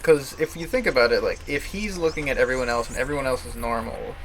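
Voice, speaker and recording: A man's voice: mid-range voice, fast, a bit bright, a little hiss or hum, rough recording. A man giving casual, rambling live commentary as he plays a visual novel, thinking aloud hesitantly. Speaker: streamer playing a visual novel. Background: game music.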